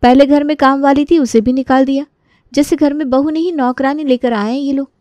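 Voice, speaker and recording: A woman's voice: high-pitched, fairly even intonation, a little fast, a little mellow, dry recording, natural voice. A woman voicing a meek, overworked young daughter-in-law who pleads and complains in a dramatised story. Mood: resentful, complaining.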